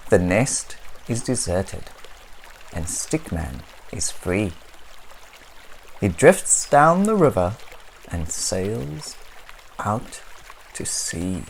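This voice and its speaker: A woman narrating a children's picture book aloud in an expressive storytelling style. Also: a bass voice, extremely slow, pauses often, slight background noise, rough recording.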